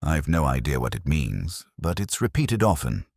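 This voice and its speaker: A man's voice: a bass voice, big pitch swings, moderate pace, vocal fry, darkish tone, no room sound, clean studio sound, full character voice. A man voicing a businesslike police inspector in a mystery audiobook, blunt and formal. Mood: grim, puzzled.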